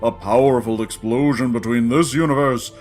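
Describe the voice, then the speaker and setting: A man's voice: mid-range voice, fairly even intonation, moderate pace, a little mellow, faint music behind, close to an everyday voice. An actor playing a solemn pan-dimensional guardian in a sci-fi audio drama, portentous and theatrical, drawing out his phrases. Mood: solemn, foreboding. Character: solemn male cosmic guardian, grandiose pan-dimensional being.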